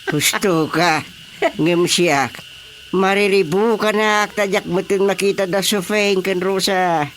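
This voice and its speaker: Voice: medium pitch, steady tone, slow pace, fairly bright tone, slight background noise. A performer with an Ilocano Filipino accent playing a dead village chairman who asks teasing questions in a radio comedy. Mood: amused.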